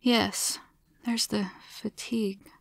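A woman voicing a warm, eager, chronically ill woman who befriends an alien in a story, expressive and open, over background noise. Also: mid-range voice, slow pace, vocal fry, dry recording. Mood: weary.

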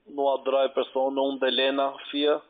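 A man with a foreign accent, speaking halting, broken phrases in a phone conversation as a call centre agent. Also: medium pitch, completely flat delivery. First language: not German. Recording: tinny, narrow phone line.

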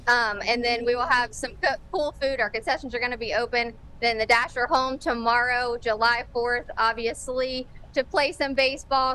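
A young woman with a bright, forceful voice pitched a bit high. She speaks as a team spokesperson in a live TV interview, listing event details from an open-air stadium concourse. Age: young adult. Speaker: baseball team marketing executive, interview guest.

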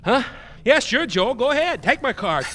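An American man playing a gruff, sarcastic, paranoid middle-aged survivalist loner in a cinematic video game. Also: very high-pitched, big pitch swings, normal speed, fairly bright tone, a little hiss or hum, exaggerated voice. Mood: sarcastic, irritated. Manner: gruff, foul-mouthed, sarcastic. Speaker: game character actor.

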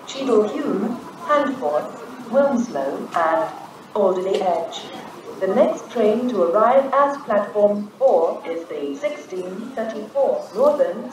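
Slow, echoey British female station announcer. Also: mid-range voice, noisy background. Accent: British English. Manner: measured, formal, pieced-together list phrasing. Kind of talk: automated train announcements, scripted.

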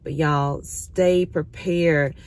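Middle-aged woman vlogging in her car on her phone, bit raspy, recovering from being sick, chatty, keeps saying y'all. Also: sits low, steady tone, extremely slow, a little mellow. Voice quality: slightly raspy. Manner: chatty, addresses viewers as y'all. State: recovering, occasional cough. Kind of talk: casual chat to viewers. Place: parked car. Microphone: phone camera at arm's length.

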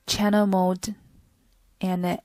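A woman's voice: lowish voice, level tone, extremely slow, a little mellow, dry recording. A woman reading a scripted product tutorial in a stilted, phrase-by-phrase way. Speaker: product demonstrator.

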